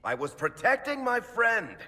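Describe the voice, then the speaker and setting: Husky, swooping, defiant rogue. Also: male voice, very high voice, big pitch swings, measured pace, full character voice. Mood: defiant, bitter. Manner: blunt, forceful. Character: defiant, loyal rogue man, protective of friends. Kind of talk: dramatic video game acting. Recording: long hall reverb.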